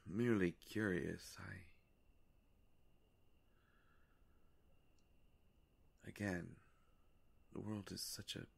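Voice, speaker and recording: A man plays an ancient, courtly vampire in an intimate audio roleplay. The voice sits very low with a heavy creak. He speaks very slowly and formally, with melancholy, hushed hesitations. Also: steady tone, exaggerated voice. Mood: curious, intense. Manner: formal, halting, hushed, measured phrasing.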